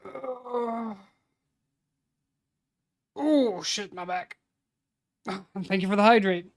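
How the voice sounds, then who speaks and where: A man's voice: high-pitched, drawn-out words. A man in his late twenties streaming casually, murmuring phrases aloud as he writes, then chatting with friends and swearing freely. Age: 20s. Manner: mutters lines aloud while typing, then casual banter with swearing. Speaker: streamer writing a tabletop campaign. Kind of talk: casual livestream chat.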